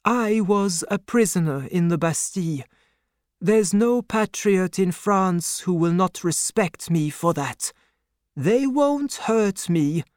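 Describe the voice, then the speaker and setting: A narrator playing a calm, confident elderly doctor who speaks in a measured, reassuring manner. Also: medium pitch, no echo, studio quality, voice pushed up. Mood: calm assurance.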